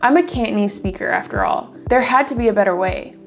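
A woman's voice: medium pitch, fast talker, gravelly. A woman in her twenties narrating a casual vlog from a small home room, chatty and self-deprecating. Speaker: vlogger. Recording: music bed under the voice.

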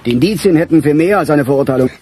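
An actor playing a seasoned, skeptical chief inspector in a German radio crime play, speaking bluntly and drily. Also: male voice, a little high, animated, very fast, warm tone, slightly rough. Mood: skeptical, weary.

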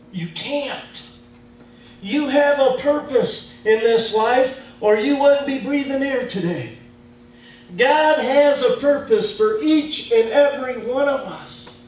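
Midwestern man, pastor, high voice, slow emphatic sermon, heard from afar in a booming church with faint hum. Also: darkish tone, very echoey, recorded from a distance, low-quality recording. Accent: Midwestern American. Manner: slow, emphatic preaching with casual grammar. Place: church sanctuary.

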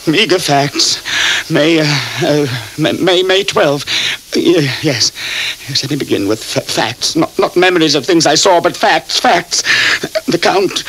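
A man's voice: fairly bright tone, full character voice, low-quality recording. An actor playing an anxious, educated young Englishman held prisoner, speaking formally and precisely in a radio drama. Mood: agitated, rattled. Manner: precise, formal, highly strung. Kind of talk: radio drama acting, first-person journal narration.

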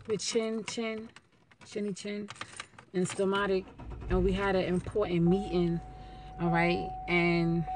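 A woman's voice: medium pitch, background noise. A middle-aged woman, chatting playfully to her phone camera at home and in a car. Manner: playful, talkative, sing-song asides. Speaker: vlogger. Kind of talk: casual chat to camera. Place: living room at home, then parked car. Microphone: phone camera held close.

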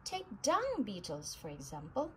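A woman reading a children's science book aloud in an animated storybook manner, with emphasis for young listeners. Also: medium pitch, a little slow. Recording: clearly noisy home recording.

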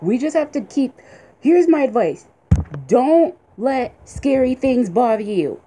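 Mellow higher-voiced woman chatting casually. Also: animated, unhurried. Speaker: interviewer behind the camera. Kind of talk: casual chat. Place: small bedroom, indoors. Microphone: phone camera held by the speaker. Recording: noisy handheld home phone video. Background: clear background noise.

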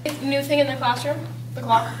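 A woman's voice: high-pitched, fairly even intonation, brisk. A young woman chatting jokily in a classroom, picked up by a camera some distance away. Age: young adult. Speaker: teammate giving a mock tour. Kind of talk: casual joking chat. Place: school classroom. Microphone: camera held across the room. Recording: off-mic with faint room noise.

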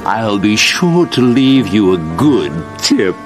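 A villainous royal advisor in a campy game cutscene. He drawls slowly in a low, wildly swinging voice, sneering and falsely polite, relishing his gloating, with faint music behind. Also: big pitch swings. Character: scheming, gloating villainous royal advisor, middle-aged man.

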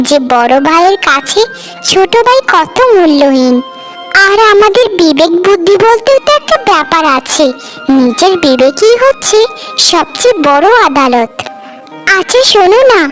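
Caring village housewife played by a woman, gentle and very high voice, cartoon drama, music underneath. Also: full character voice. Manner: gentle, sympathetic. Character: kind, caring elder wife of a village household. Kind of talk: Bengali cartoon family drama acting. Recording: music behind the voice.

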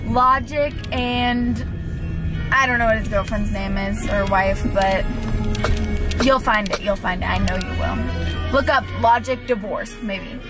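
A woman's voice: mid-range voice, unhurried, music in the background. A young woman vlogging casually from inside a parked car, chatty and giggly, saying "like" a lot. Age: young adult. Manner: chatty, giggly, says "like" often. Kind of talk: casual vlog chat. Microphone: camera on the dashboard.

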